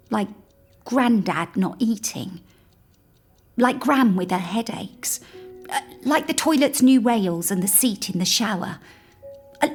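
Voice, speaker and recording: A woman playing a grieving, imaginative young girl who confides in her toy polar bear in an audio drama, hushed and childlike. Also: medium pitch, slightly rough. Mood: subdued, sad. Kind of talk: intimate audio drama monologue.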